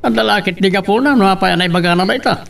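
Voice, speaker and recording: A man's voice: high voice, racing, vocal fry, a bit bright. An actor with an Ilocano Filipino accent playing a troubled, worried father in a radio family drama. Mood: concerned. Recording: distant from the mic.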